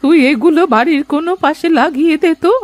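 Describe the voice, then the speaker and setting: A woman voicing a gentle, motherly old beggar woman in a moral-story cartoon, speaking in a folksy, coaxing way. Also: sits high, fast talker, close to an everyday voice. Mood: warm, coaxing. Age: older. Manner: folksy, coaxing, addresses others as her children.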